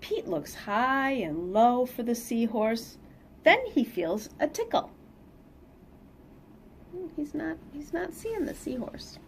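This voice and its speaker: A woman's voice: on the high side, takes it slowly, long pauses, slight background noise. A middle-aged woman reading a children's picture book aloud expressively, with sing-song emphasis and casual asides. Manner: animated, sing-song emphasis for young listeners. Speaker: read-aloud storyteller. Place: small plain indoor room.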